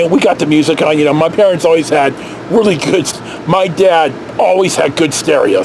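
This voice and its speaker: A man's voice: high voice, background noise. A middle-aged man with an American accent telling personal stories in a casual, rambling, salty way, recorded indoors on a camera at arm's length. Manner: salty, sweary, animated reminiscing. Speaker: storyteller, vlog host. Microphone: camera held at arm's length.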